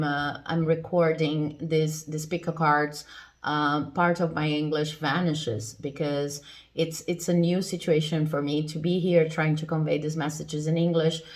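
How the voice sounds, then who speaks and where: Low-voiced woman reading tarot. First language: Portuguese. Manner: reassuring, explanatory. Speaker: tarot reader. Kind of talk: pick-a-card tarot reading.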